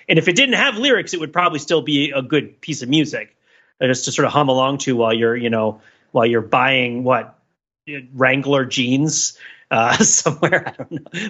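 A man's voice: medium pitch, pushed and bright, lo-fi sound. A man talking casually as a podcast panelist, rambling and often interrupting himself as he searches for words. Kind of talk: casual discussion.

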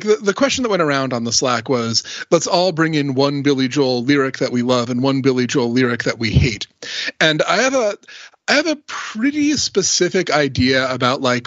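A man in a casual, analytical panel discussion, animated and opinionated, talking in asides on a slightly dull recording. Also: mid-range voice, no room sound. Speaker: podcast panelist.

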